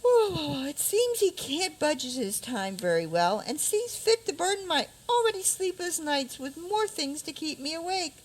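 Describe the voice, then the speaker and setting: A woman's voice: high voice, big pitch swings, normal speed, few pauses, low-quality recording. A woman playing a weary, sleepless fairy-tale princess, plaintive and theatrical, in a radio theater comedy. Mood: plaintive, exhausted.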